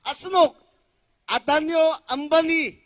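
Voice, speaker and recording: A man with a Northeast Indian accent interpreting at a political rally, declaiming loudly in short bursts. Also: very high-pitched, unhurried. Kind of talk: consecutive interpreting, loud public address.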